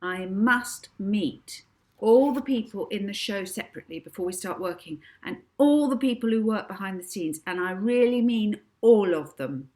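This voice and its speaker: A woman's voice: medium pitch, expressive, moderate pace, a little mellow, voice pushed up, lightly acted. A woman voicing a bossy, confident young girl hypnotist with a demanding, imperious manner in a storybook reading.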